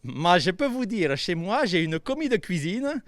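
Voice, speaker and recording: A man's voice: high-pitched, very animated, measured pace, no echo. A man voicing a cheerful, boastful male puppet chef who stammers and speaks with a put-on Italian accent, outdoors by the sea. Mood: cheery. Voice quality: stammering, bubbly. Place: outdoors by the seafront.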